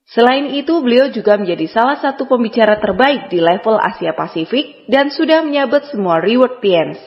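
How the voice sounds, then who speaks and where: A woman's voice: fairly high pitch, lively intonation, moderate pace. A woman reading a formal scripted introduction of a speaker as an emcee. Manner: formal, announcing tone. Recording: echoey hall, dull lo-fi sound.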